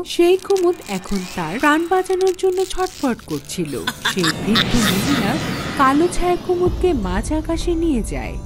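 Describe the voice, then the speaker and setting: High, animated woman horror storyteller. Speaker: narrator. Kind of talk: dramatic scripted horror story narration. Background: background music.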